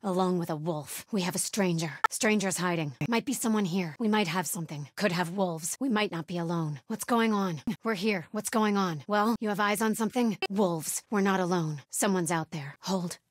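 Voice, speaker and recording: An actress playing a hostile, zealous cult warrior in a video game, with a husky voice and terse, menacing combat barks. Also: female voice, fairly low pitch, normal speed, few pauses, noisy background, lightly acted. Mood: wary, alert. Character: hostile female cult warrior hunting intruders, grim and zealous.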